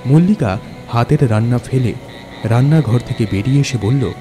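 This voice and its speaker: A man's voice: mid-range voice, expressive, moderate pace. A man narrating a scripted ghost story as a dramatic storyteller. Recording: music bed under narration.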